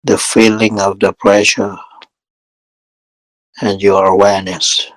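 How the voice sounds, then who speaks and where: A man leading a guided meditation as a teacher, speaking calmly in short, measured phrases with long pauses between them. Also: on the low side, no room sound, rough recording.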